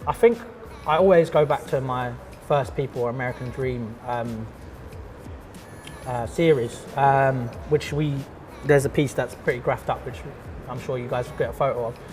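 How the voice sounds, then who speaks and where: A man, an artist and teacher chatting casually in an interview, relaxed and full of fillers and restarts. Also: medium pitch, moderate pace, faint music behind. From London.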